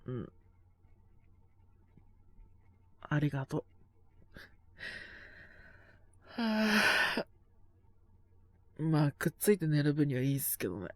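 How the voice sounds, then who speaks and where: A man's voice: a little high, big pitch swings, moderate pace, no echo. A man playing a sick, sleepy young boyfriend in an audio drama, grumbling casually in a murmur, exasperated yet fond. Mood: sleepy, softened. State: coughing, acting sick with a cold. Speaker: voice actor. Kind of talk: Japanese situation voice drama aimed at listeners.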